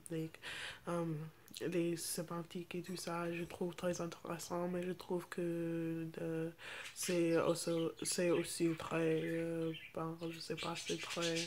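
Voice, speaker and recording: A young woman from the United States with an American accent talks off the cuff in a language that isn't her native English. Her voice sits low and stays quite flat, and she moves slowly, halting often to hunt for the next word. It has the feel of an informal vlog. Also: a little hiss or hum. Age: teens to young adult. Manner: halting, searching for words in a second language. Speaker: vlogger. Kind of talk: casual unscripted chat to camera.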